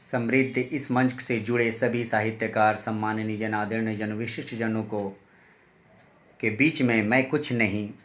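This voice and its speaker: A man's voice: a bit bright, a little hiss or hum. A man from Chhattisgarh at an online poetry session, greeting and thanking each listener by name in a formal, respectful manner. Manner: sings high and drawn out, spacing each phrase slowly. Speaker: poet. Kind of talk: sung Hindi poem, then spoken greetings at an online poetry session.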